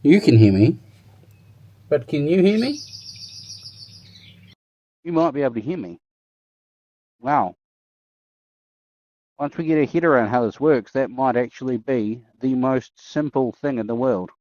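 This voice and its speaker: A man's voice: medium pitch, animated, measured pace, long pauses, dark tone, muffled recording. A man talking informally and thinking aloud as he gives a casual hands-on demo and review of gear. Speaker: motorcycle tech reviewer.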